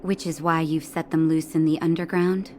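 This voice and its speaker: An actress playing a calm, caring woman doctor in an English video game dub, measured and soothing with a gently wry edge. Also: low-pitched, a little hiss or hum, lightly acted. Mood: stern, concerned.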